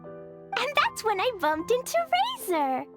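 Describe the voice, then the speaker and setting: A woman's voice: extremely high pitch, very animated, a little slow, over loud music, full character voice. A woman playing an innocent, bubbly, playful little girl in a video game, with a small childlike voice and an eager, earnest manner. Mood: chatty. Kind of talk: video game cutscene acting.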